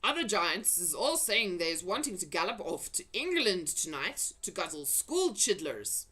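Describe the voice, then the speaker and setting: A woman's voice: lowish voice, sing-song, normal speed, bright, forceful tone, husky, heavily acted. A woman voicing a huge, vicious, greedy male giant from a storybook, roaring insults in broken, folksy grammar. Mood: gloating. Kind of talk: storybook character voice.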